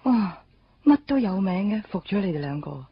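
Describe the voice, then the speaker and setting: A woman's voice: medium pitch, big pitch swings, moderate pace, dull audio, a little hiss or hum, lightly acted. An actress with a Hong Kong Cantonese accent playing a playful, candid young woman in a radio drama, casual and teasing.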